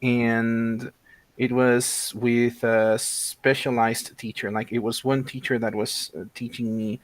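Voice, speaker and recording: A man's voice: medium pitch, little pitch movement, takes it slowly, no echo. A man in his thirties from Mexico, a guest in a casual interview, talking with many fillers and restarts. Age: 30s.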